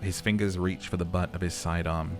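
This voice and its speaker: A man's voice: sits low, flat delivery, moderate pace, faint music behind. A man narrating a game playthrough, mixing dramatic reading with casual commentary.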